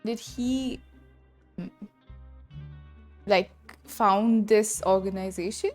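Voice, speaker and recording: A woman's voice: mid-range voice, slow pace, a little mellow. A woman gaming streamer giving casual playthrough commentary and reading game text aloud. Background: game music.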